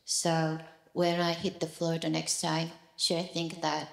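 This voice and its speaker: A woman's voice: low voice, monotone, unhurried, vocal fry, roomy sound. A woman with a Korean accent, a native Korean speaker, asking her teacher a question, hesitant and searching for words. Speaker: retreat participant asking a question.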